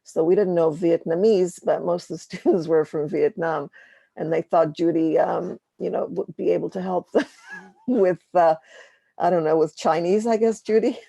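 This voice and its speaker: A woman's voice: lowish voice, fairly even intonation, moderate pace, vocal fry, warm tone, breathy edge, no room sound. A woman chatting casually and a little hesitantly in an online discussion among teachers. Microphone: online video call.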